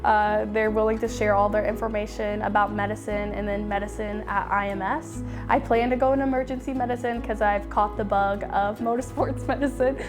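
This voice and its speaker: A woman's voice: medium pitch, fairly even intonation, fairly quick, hardly any pauses. A young woman undergraduate speaking eagerly about shadowing at the track in an interview. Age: young adult. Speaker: undergraduate student. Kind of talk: interview testimony. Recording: music bed underneath.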